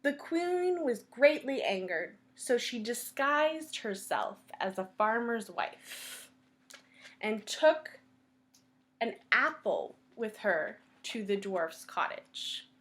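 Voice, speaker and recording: Slow, animated woman reading a fairytale. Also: medium pitch. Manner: deliberate phrasing with frequent pauses. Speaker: storyteller at a library. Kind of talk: children's fairytale read-aloud.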